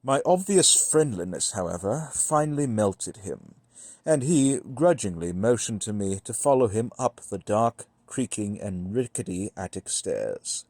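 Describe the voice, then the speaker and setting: A man reading a gothic horror story as scripted narration, in a measured, deliberate manner with dramatic pauses. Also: on the low side, big pitch swings, a little mellow, dry recording. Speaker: audiobook-style reader.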